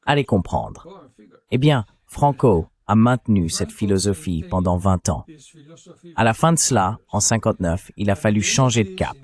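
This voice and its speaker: A man's voice: fairly low pitch, expressive, normal speed, a little mellow. A man delivering a translated voice-over of an interview, explaining things as a commentator.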